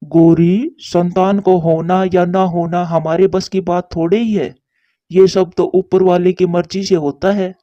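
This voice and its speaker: A man's voice: high-pitched, flat delivery, a little slow, no echo. A man voicing a patient, devoted husband pigeon in a cartoon story, earnest and consoling, in a dull lo-fi recording. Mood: resigned, firm. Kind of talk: Hindi cartoon story dialogue.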